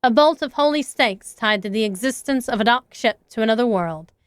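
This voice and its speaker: A woman voicing a shy, intense teenage schoolgirl, soft and hesitant, reading game dialogue aloud. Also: fairly high pitch, expressive, fairly quick, clean tone, dry recording, close to an everyday voice.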